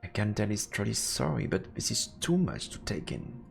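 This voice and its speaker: Casting: male game streamer as dramatic narrator, low gravelly voice reading a fantasy visual novel. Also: sing-song, normal speed. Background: faint music.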